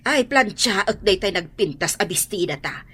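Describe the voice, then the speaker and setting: A chatty older great-aunt in a family drama. Her voice is husky and bright, swinging widely in pitch. She is excitable, over soft background music. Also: moderate pace. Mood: excited. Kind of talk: Ilocano family drama acting.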